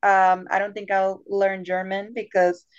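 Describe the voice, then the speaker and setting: A woman's voice: mid-range voice, flat delivery, unhurried, smooth. A young woman born in Panama and raised in the United States, a guest chatting casually on a podcast and laughing often. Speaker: guest, language assistant. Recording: dull lo-fi sound.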